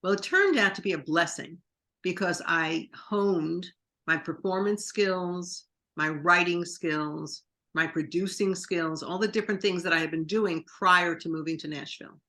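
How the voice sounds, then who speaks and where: A woman's voice: on the low side, unhurried, pushed and bright. A woman from New York, a guest in an interview, telling long rambling anecdotes full of quoted dialogue. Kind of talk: interview storytelling.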